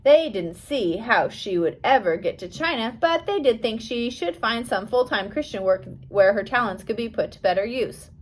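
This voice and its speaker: A woman's voice: a little high, expressive, moderate pace, hardly any pauses, poor audio. A woman reading a scripted biography aloud as an audiobook, with careful storytelling delivery. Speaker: audiobook reader.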